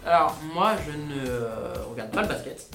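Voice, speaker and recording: A man's voice: mid-range voice, lively intonation, moderate pace, vocal fry, fairly bright tone. A young man co-hosting a casual student radio chat, eager and rambling, full of fillers. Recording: echoey room, music behind.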